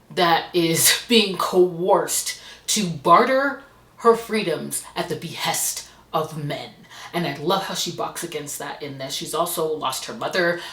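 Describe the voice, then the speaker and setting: A woman's voice: deep voice, fairly bright tone, reverberant. A woman chatting expressively as a book reviewer, gushing and emphatic as she recaps what she has read. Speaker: book reviewer and host of a reading channel. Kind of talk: chatty monthly reading wrap-up to camera.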